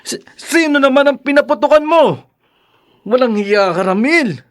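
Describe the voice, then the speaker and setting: An actor playing a cautious, scolding friend in a Filipino radio drama, with exclamatory, admonishing delivery. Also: male voice, very high-pitched, lively intonation, moderate pace. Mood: alarmed, scolding. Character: cautious, scolding friend who owns a gun.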